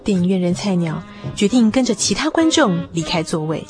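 A woman narrating a radio drama in an expressive, measured literary style. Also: lowish voice. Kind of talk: scripted radio drama storytelling. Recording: music behind the voice.

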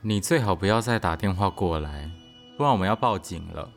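A man's voice: low voice, expressive, a little fast, faint music behind. A man playing a protective, stern young male housemate in a radio drama. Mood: confrontational.

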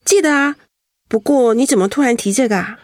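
High-voiced vulnerable young wife played by an actress. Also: female voice, a little fast, dry recording, clean studio sound, natural voice. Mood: tender, reassuring. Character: vulnerable young wife holding back tears after illness. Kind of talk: Mandarin radio drama acting.

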